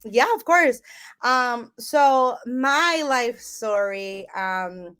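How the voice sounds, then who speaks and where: A young woman from the United States telling her life story as an interview guest, relaxed and rambling with lots of ums. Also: on the high side, very slow, clear, resonant tone. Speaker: guest, business owner.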